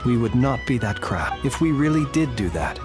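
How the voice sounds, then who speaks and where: A woman playing a courteous, composed adult in a video game, speaking formally and with gentle flattery. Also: a bass voice, moderate pace, music in the background. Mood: courteous, calm. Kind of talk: video game character acting.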